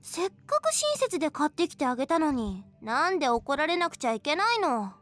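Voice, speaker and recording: A woman playing an indignant, sharp-tongued teenage girl in a Japanese visual novel, scolding and cutting. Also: sits high, big pitch swings, rapid-fire, soft background music.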